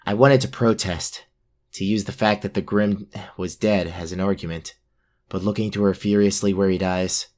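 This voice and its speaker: A man's voice: low voice, lightly acted. A man playing a brave but self-doubting young fantasy warrior, narrating his own story in an audio drama.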